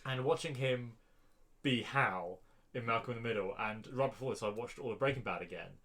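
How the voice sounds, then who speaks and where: A man's voice: medium pitch, a little fast, a bit bright. A young adult man, talking casually as a podcast panelist. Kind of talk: casual chat.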